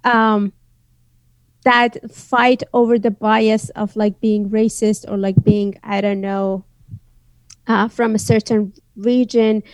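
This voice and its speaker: A woman's voice: medium pitch, level tone, takes it slowly, dry recording, poor audio. A woman from northwest Iran whose first language is Azeri, speaking English with an Iranian accent as a podcast guest, hesitant with many fillers and restarts. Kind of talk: casual interview chat.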